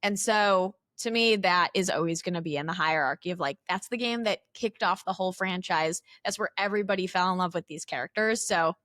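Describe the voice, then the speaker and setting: A woman's voice: on the low side, fairly quick, few pauses, a bit bright, dry recording, clean studio sound. A woman in her thirties with a Chicago accent, a guest on a podcast who talks in a chatty, rambling way full of fillers and restarts. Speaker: guest, company CEO. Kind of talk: casual interview chat.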